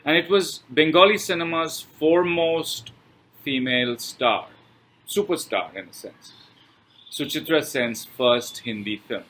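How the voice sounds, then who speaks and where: A man with an Indian accent reading a film review aloud from a script, phrasing it deliberately in short pieces with pauses. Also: a little high, fairly bright tone, rough recording.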